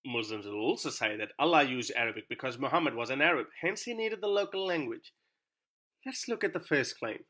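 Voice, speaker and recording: A man's voice: medium pitch, lively intonation, fairly quick, sharp tone. A man whose first language is Urdu, delivering a scripted, argumentative monologue full of sarcastic rhetorical questions. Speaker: YouTube commentator.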